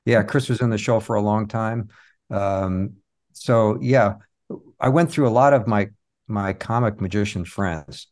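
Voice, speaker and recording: Low-voiced man, reflective interview guest. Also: measured pace, darkish tone. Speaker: interview guest, comic magician and stage show creator. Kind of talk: conversational interview.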